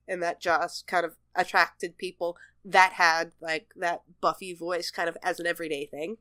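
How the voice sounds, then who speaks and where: A woman's voice: on the low side, level tone, moderate pace, pushed and bright, no echo. A woman chatting casually as a co-host on a television discussion podcast. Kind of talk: casual panel discussion of a TV show.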